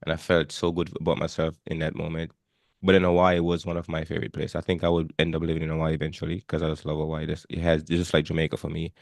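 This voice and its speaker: Fast-talking man with a low, dry-miked voice. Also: completely flat delivery, vocal fry, no echo. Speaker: podcast host. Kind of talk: casual podcast chat.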